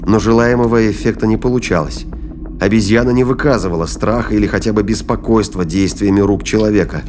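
A man's voice: lowish voice, a little fast, background noise. A man reading scripted dramatic narration as the narrator of an audio drama.

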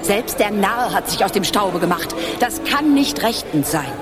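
A woman's voice: mid-range voice, animated, a little slow, lightly acted. An actress playing a worried, imperious middle-aged noblewoman in a radio play, formal and commanding. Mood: agitated. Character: worried, imperious noblewoman, mother of young emperor. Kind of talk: German radio play acting. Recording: music and crowd behind.

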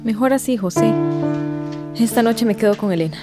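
An actress playing a resolute, polite young migrant housemaid in a radio drama. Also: female voice, a little high, brisk, close to an everyday voice. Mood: firm, gentle. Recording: music behind the voice.

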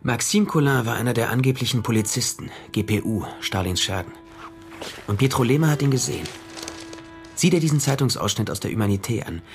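An actor playing a dogged male private detective in a 1930s crime radio drama, explaining things in a deliberate, matter-of-fact way. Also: medium pitch, animated, close to an everyday voice. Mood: certain, explaining. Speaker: detective and first-person narrator. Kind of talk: German radio crime drama acting and narration. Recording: studio radio drama with music underneath.

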